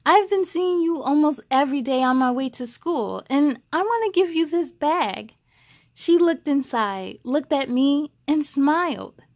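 Casting: young adult woman, high voice, children's storyteller, expressive and encouraging read-aloud delivery. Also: a little slow.

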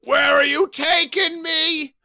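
A man's voice: very high voice, level tone, slow pace, vocal fry, bright, forceful tone, no room sound, studio quality, voice pushed up, full character voice. A man voicing a panicky, whiny crook in a comic book dramatic reading, exclaiming shrilly. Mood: panicked. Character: panicky, whiny male crook, terrified and excitable.

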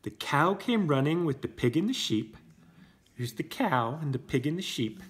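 A man's voice: a little high, very animated, normal speed. A man in his thirties reading a children's storybook aloud as a parent, expressively, with simple casual asides. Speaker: parent reading to preschool class. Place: indoor room with brick wall. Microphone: camera a short distance away.

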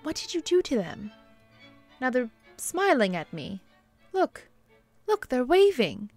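A woman's voice: sits high, big pitch swings, takes it slowly, a little mellow, faint music behind, heavily acted. Woman as hesitant, refined bishop's wife. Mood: flustered, pleased. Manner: polite, hesitant. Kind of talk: radio-play style dramatic acting.

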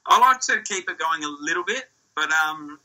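Young Australian male athlete, bright and sharp tone, easygoing rambling answers, laptop-mic video call sound. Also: on the high side, moderate pace, low-quality recording. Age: young adult. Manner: relaxed, rambling, with restarts and fillers. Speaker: surf ski champion guest. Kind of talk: casual interview answers. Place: small room indoors. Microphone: laptop or phone on a video call.

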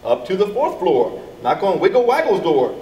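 A middle-aged man reading a children's storybook aloud in an expressive, deliberate manner. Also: high voice, dull audio. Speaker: elementary school staff member reading to children. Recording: echoey, distant mic with background noise.

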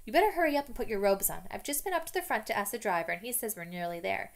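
A woman's voice: mid-range voice, lively intonation, rapid-fire, vocal fry. Know-it-all schoolgirl, as a woman reader plays her, sniffy and scolding. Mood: bossy.

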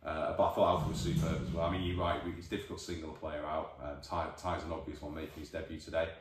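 A man in his forties with a Northern English accent, a football manager giving a measured, thoughtful post-match interview, recorded by a distant camera in an empty changing room. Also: a bass voice, level tone. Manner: measured, thoughtful, many restarts. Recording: echoey room, voice from far off.

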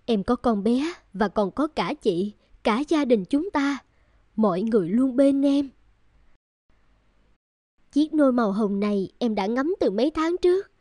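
A woman voicing a tender, caring young woman in a cartoon family drama, gentle and heartfelt. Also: fairly high pitch, dry recording, studio quality.

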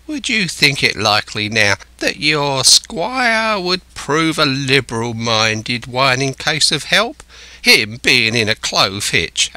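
A man's voice: a little high, pushed and bright, no echo, pitched above the performer's own voice, exaggerated voice. A man voicing a marooned, half-crazed old seafarer in a storybook, sly and childish, with rambling, wheedling rustic sailor speech. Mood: wheedling, bargaining.

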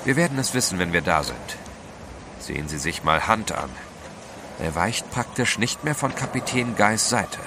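An actor playing a resolute, protective gentleman adventurer in a radio play, assured and commanding. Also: lowish voice, lively intonation, moderate pace. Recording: noisy ship ambience effects.

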